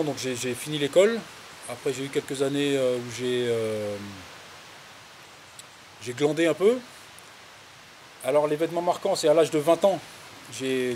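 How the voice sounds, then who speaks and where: Man, 50s, from the Paris suburbs. Medium pitch, colloquial and halting storyteller in an interview, faint-to-clear hiss on the recording. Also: darkish tone. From Paris suburbs, France. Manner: hesitant, rambling, colloquial. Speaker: interviewee, farmer. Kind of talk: casual autobiographical interview, recounting anecdotes.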